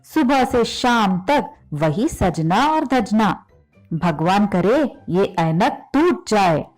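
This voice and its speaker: Lady doing a grumpy saas in a kids story, snarky and nagging, slow, bit of music behind. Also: mid-range voice, big pitch swings, darkish tone, exaggerated voice, rough recording. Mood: grumbling. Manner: sarcastic, grumbling, scolding. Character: irritable, spiteful, sarcastic mother-in-law. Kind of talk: children's moral story acting.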